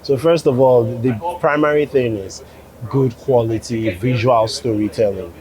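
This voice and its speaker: A man with a Nigerian accent giving interview answers as a producer, explaining in a measured way and rephrasing as he goes. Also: mid-range voice, a crowd in the background, poor audio. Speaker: animation producer.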